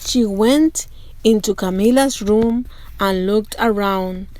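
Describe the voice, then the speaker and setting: Casting: female picture-book narrator, expressive, unhurried pace, dry close sound. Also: mid-range voice, dry recording. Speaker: storybook reader. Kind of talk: children's read-aloud narration.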